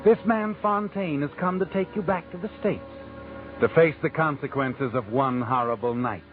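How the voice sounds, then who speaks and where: A man's voice: fairly high pitch, normal speed, natural voice. A man playing a mysterious, knowing suspense narrator in an old radio drama, speaking in insinuating questions straight to the guilty one. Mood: ominous, taunting. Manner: ominous, insinuating rhetorical questions in second person. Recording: tinny old radio broadcast, music behind.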